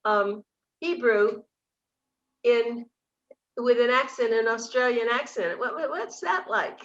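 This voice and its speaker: A woman's voice: on the high side, takes it slowly, dull audio. A woman hosting a casual interview, chatty and enthusiastic. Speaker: interview host.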